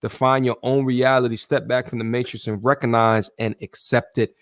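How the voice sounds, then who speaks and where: A man hosting a livestream discussion, reading out viewer comments and giving calm, reflective remarks. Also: mid-range voice, moderate pace, dry recording, clean studio sound. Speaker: host.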